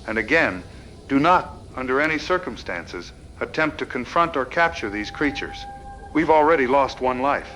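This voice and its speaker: An actor playing an earnest town official giving emergency instructions in an audio drama, formal, orderly and grave. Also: male voice, medium pitch, animated, moderate pace, a bit bright, faint music behind, natural voice, low-quality recording. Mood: urgent, cautioning. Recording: faint noise behind voice.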